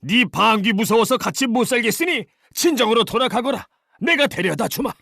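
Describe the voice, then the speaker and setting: A man voicing an old father-in-law, fretful but jolly, with a creaky elderly voice in a children's folktale. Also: very high-pitched, a little fast, bright, forceful tone, heavily acted. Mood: alarmed, stern. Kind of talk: children's folktale character acting.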